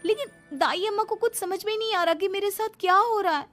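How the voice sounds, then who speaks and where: A high-pitched young wife in a cartoon drama, acted by a woman. She is defiant and insistent, pleading with indignation over background music. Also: fairly quick, a bit bright. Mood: pleading frustration. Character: educated, defiant young pregnant daughter-in-law.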